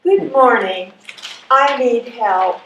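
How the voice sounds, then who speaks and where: Stage role: wry, beleaguered old lady played by a woman, high voice, unhurried, distant echoey pickup. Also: a bit bright, highs cut off, slight background noise. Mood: pleading. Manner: dry, sardonic, plain-spoken. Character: exasperated, wry old lady needing help. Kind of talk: readers theatre stage performance. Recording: echoey hall, distant microphone.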